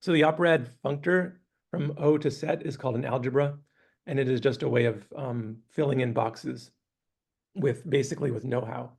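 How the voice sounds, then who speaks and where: Gravelly-voiced man lecturing, voice going. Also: mid-range voice, normal speed. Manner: relaxed, hesitant, thinking aloud. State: losing his voice, clearing throat. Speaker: guest lecturer. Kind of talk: academic lecture with questions. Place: university classroom.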